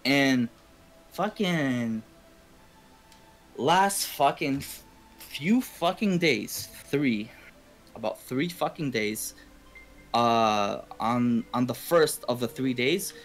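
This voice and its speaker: A man from Canada who streams games, telling personal stories in a casual, repetitive ramble full of swearing. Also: medium pitch. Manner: profane, heavy swearing, repetitive. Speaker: game livestreamer. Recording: music playing behind the voice. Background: video game music and game dialogue.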